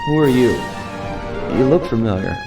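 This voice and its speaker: A man playing a smooth young thief hero from a cartoon game. His mid-pitched voice sounds echoey and set back, with music playing. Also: lively intonation, normal speed, close to an everyday voice. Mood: confused. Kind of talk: video game voice acting. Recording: echoey, distant game audio under music.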